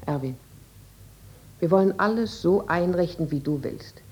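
An actress playing an estranged middle-aged wife in a radio drama, soft, pleading and gently resolute. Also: female voice, lowish voice, expressive, a little slow. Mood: sad, resolute. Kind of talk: 1950s German radio drama acting.

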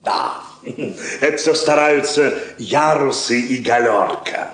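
A man's voice: medium pitch, expressive, slow. A man acting a deferential, fussy court counsellor in a stage drama. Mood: smooth, dismissive. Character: obsequious, cautious male royal counsellor. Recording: roomy old recording with hiss.

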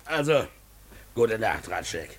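A man's voice: lowish voice, big pitch swings, takes it slowly, vocal fry, breathy edge, exaggerated voice. An actor playing a timid, tipsy male tavern drinker in a German radio play, chummy and rambling. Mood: chummy, amused.

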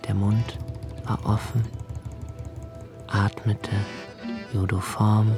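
A brooding young poet, slow and hushed. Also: male voice, on the low side, level tone, over music. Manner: slow, hushed, intimate, drops to near whisper. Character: young male poet narrator, anxious and observant. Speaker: narrator in a radio play. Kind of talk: literary radio drama reading.

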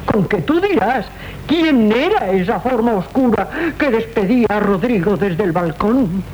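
An actress with a Castilian accent playing a scheming, domineering aunt in a 1970s radio drama, scolding imperiously and theatrically. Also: female voice, medium pitch, expressive, measured pace, darkish tone, lightly acted. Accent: Castilian Spanish. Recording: muffled, noisy 1970s radio recording.

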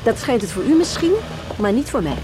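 A woman's voice: fairly high pitch, sing-song, a little fast, noisy background. An actress in a Dutch radio drama playing a curt, stubborn working mother who defiantly guards her son. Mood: irritated, defiant. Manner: brusque, stubborn, dismissive.

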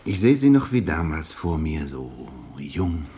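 Radio drama role: ingratiating bachelor businessman, low husky voice that swings widely, hesitant and gushing. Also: very animated, a little slow, warm tone, exaggerated voice. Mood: oily sympathy. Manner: gushing, hesitant, chuckling between phrases. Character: effusive, ingratiating bachelor businessman, clumsy flatterer. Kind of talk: naturalistic German radio drama acting.

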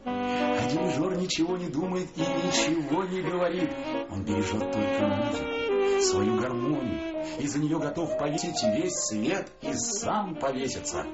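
An actor playing a wry, theatrical narrator of a radio story. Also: male voice, medium pitch, very animated, unhurried. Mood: wry, musing. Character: wry, animated narrator musing on a rehearsal and a singer. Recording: muffled, echoey, under loud music.

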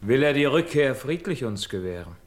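Casting: proud young warrior prince, mid-pitched, heroic and earnest, classical verse radio play. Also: male voice, very animated, moderate pace. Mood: alert, urgent.

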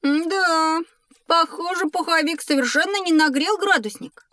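High voiced cheeky little boy pupil, naive and curious, kids audio drama, dry sound. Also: a little slow, bright, forceful tone, dry recording, full character voice. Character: naive, inquisitive young schoolboy, cheeky and wonder-struck. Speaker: actor in an educational audiobook. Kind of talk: scripted audio drama dialogue.

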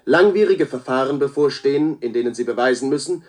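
An actor playing a serious radio news announcer in a drama, reading a bulletin in a formal, measured, factual way. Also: male voice, mid-range voice, darkish tone, natural voice.

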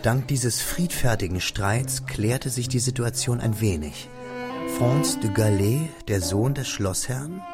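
A man giving composed, scripted literary narration as the narrator of a radio drama. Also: fairly low pitch. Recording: studio radio drama with music behind. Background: music.

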